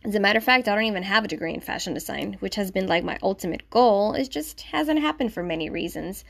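A woman's voice: medium pitch, lively intonation, fast, gravelly. Woman, puerto rican accent, spanish native speaker, solo podcast host, chatty, self-deprecating, talks straight to the listener. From Puerto Rico. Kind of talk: casual solo podcast talk.

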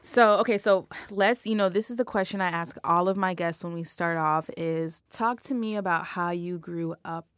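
A woman's voice: lowish voice, moderate pace, hardly any pauses, smooth, no echo. A woman hosting a podcast, chatting enthusiastically with a friend and often restarting her sentences. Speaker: podcast host. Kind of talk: casual interview chat.

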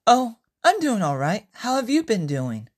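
A woman's voice: fairly high pitch, wildly swooping pitch, measured pace, voice pushed up, full character voice. A woman voicing a friendly, plain-spoken female bank clerk in a story, with a flirty undertone. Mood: straightforward. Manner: plain-spoken, at times sultry.

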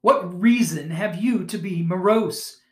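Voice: medium pitch, slow pace, reverberant, natural voice. A reader voicing a cheerful young man who pleads gaily with his uncle, read from a storybook. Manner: bright, pleading.

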